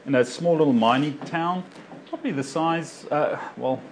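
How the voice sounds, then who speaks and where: A man's voice: fairly high pitch, moderate pace, slight background noise, low-quality recording. A man from Africa, a doctor giving an informal personal talk to a local audience. He rambles, with many fillers and self-corrections. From South Africa. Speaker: doctor speaking to a local community group.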